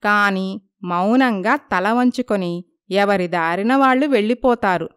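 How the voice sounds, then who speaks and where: A woman's voice: medium pitch, unhurried, clear, resonant tone, dry recording, studio quality. A woman narrating a scripted moral story as its storyteller.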